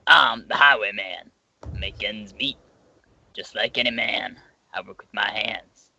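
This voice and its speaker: A woman playing a sly, menacing ghostly highwayman with a drawn-out, threatening delivery. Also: vocal fry, bright, forceful tone, slightly rough, dull audio, full character voice.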